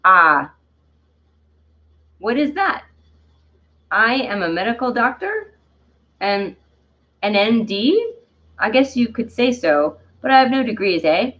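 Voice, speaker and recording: Casting: a woman as a theatrical French-accented dandelion spirit, storybook style, exuberant and self-loving, unhurried, on a slightly dull recording. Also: fairly high pitch, very animated, fairly bright tone, heavily acted. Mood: proud, playful. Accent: put-on French. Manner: theatrical, halting, often tagging phrases with "eh". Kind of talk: dramatic storybook-style reading.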